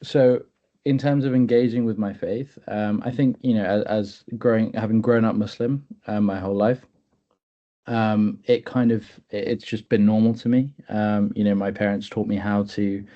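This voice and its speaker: A man in his twenties from England, speaking in a thoughtful, halting, earnest way as a webinar panelist. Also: lowish voice, steady tone, lo-fi sound. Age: 20s. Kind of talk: personal talk in a webinar. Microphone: video call.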